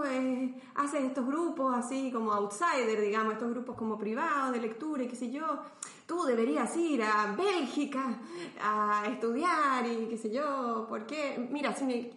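A woman's voice: a little high, fairly bright tone. A woman from Chile with a Chilean accent, chatting as a podcast guest, thinking aloud and laughing often. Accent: Chilean Spanish. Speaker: podcast guest, philosopher and artist. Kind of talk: casual interview chat. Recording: roomy echo.

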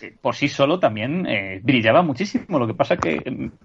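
A man's voice: mid-range voice, expressive, normal speed, vocal fry, muffled recording, a little hiss or hum. A man from in a casual film discussion on a podcast, explaining at length and checking for agreement. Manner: talkative, explanatory, seeks agreement with tag questions. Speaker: podcast panelist.

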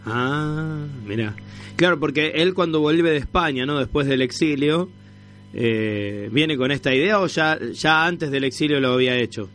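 A man with a Rioplatense Argentine accent hosting a casual radio interview, attentive and quick to chime in. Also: on the high side, fairly bright tone, soft background music. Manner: attentive, frequently interjecting short acknowledgements.

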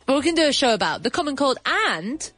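A woman's voice: high voice, sing-song, fast, fairly bright tone, no echo, faint music behind. A woman hosting a casual bilingual chat, explaining English words, chatty and laughing, with a runny nose. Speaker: podcast host teaching English.

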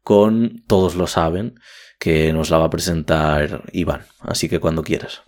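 A man hosting, relaxed as he hands the talk over to a guest. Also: rumbling low, unhurried, soft background music. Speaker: host introducing a guest. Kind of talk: casual introduction.

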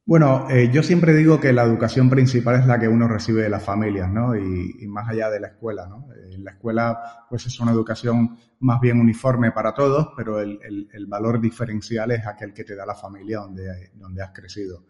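A Cuban-accented man with a lowish voice answers interview questions and keeps repeating words as he thinks. The recording is dull and lo-fi, with a roomy echo and a long reverb tail. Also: steady tone, fairly quick, few pauses, a little mellow. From Cuba. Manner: repeats words while thinking, frequent "eh" fillers. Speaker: guest.

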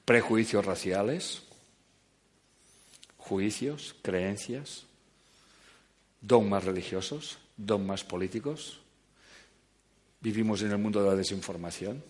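Animated man lecturing an audience. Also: on the low side, slow pace, pauses often. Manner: animated, joking, swings between loud and quiet. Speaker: speaker giving a talk.

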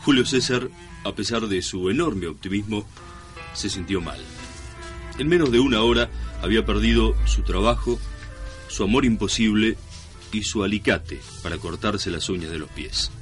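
A man giving a measured, mock-solemn scripted narration for a radio serial. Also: lowish voice, soft background music.

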